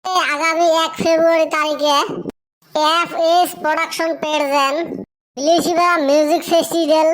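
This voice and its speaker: Very squeaky, cheeky cartoon layabout. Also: male voice, little pitch movement, normal speed, fairly bright tone, rough recording. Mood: boastful. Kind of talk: comic cartoon dialogue.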